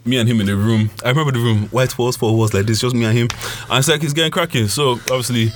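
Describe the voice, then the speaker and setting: A young man, raised first in Yoruba, tells stories casually among friends in an animated, slangy way, often repeating himself. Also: mid-range voice, quick pace, a little hiss or hum. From Nigeria and London.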